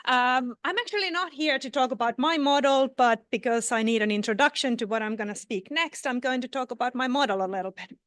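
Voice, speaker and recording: A woman's voice: high-pitched, fairly quick, a bit bright, no room sound, studio quality. A woman from Finland giving a scientific conference talk, hesitating often with fillers and restarts. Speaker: conference speaker. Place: conference hall. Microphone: no microphone.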